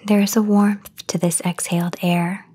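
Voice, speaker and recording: A woman leads a guided sleep meditation in a soft, half-whispered voice of medium pitch. Her tone is breathy and soothing. She takes her time, leaving long pauses between phrases. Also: flat delivery. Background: voice only, no music or background noise.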